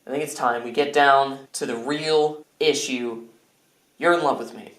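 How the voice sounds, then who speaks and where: A man acts out a self-centred, whiny fellow in a comedy skit. He is melodramatic and exasperated, swinging between mock-earnest persuasion and outbursts. The room echoes around his mid-pitched voice. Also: fairly even intonation, a little slow, a bit bright, lightly acted. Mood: mock-earnest scheming. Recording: roomy, echoing indoor sound.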